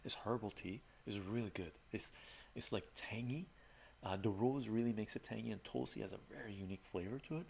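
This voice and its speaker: A middle-aged man, Armenian by birth, chats in a relaxed, rambling way with fillers, as a livestream host in his home study. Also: lowish voice, a little fast, gravelly, a little hiss or hum. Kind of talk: casual soft-spoken chat with viewers.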